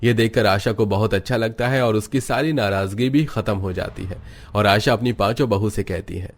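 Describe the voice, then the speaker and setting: Guy narrating a cartoon story from a script, lowish voice. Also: normal speed.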